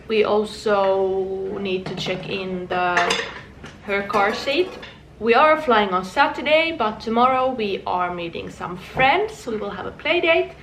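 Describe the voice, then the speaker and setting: A woman's voice: mid-range voice, far from the mic, background noise. A young woman from Finland, chatting casually to her vlog viewers at home, rambling with small restarts and laughs. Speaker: vlogger. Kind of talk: casual chat. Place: home room. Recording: echoey room, voice from off-mic.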